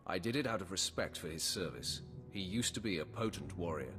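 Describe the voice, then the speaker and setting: An actor playing a confident young male Sith warrior apprentice in a video game, speaking in measured, terse sentences. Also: low voice, animated. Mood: measured, firm. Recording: long hall reverb, loud music.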